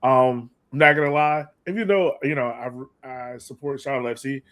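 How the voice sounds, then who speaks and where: A man's voice: medium pitch, moderate pace, heavy background noise. A man hosting a sports fan podcast, chatting casually in an informal, slangy, enthusiastic way. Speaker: podcast host.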